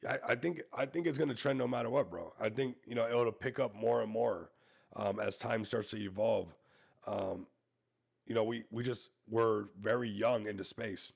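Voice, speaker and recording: A man hosting a casual crypto livestream, hoarse and losing his voice, hyping coins and calling out to viewers. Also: fairly low pitch, steady tone, normal speed. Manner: hype-driven, repeats "everybody", talks to viewers. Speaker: crypto show host.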